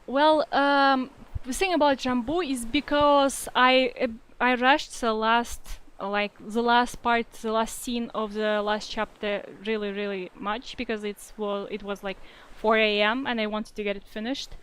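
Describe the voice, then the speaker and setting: A woman's voice: on the high side, fairly even intonation, a little slow, fairly bright tone, clear, resonant tone. A young woman with a Russian-influenced accent answering reader questions in a casual livestream, hesitant and full of fillers. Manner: hesitant, many fillers and restarts, reads comments aloud. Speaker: fanfiction writer answering readers.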